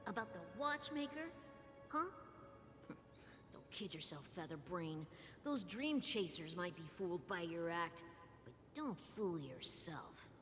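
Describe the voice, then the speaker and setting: A woman's voice: fairly low pitch, very animated, unhurried. An actress playing a brash, irreverent young woman in a video game, with a sardonic, taunting, casual delivery. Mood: scornful, taunting. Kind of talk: dramatic video game voice acting. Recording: long hall reverb under loud music.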